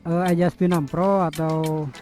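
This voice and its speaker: High male voice, casual explanatory gear review, helmet intercom, faint background music. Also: flat delivery, moderate pace, warm tone. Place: outdoors, riding a motorbike on the road. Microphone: helmet intercom headset.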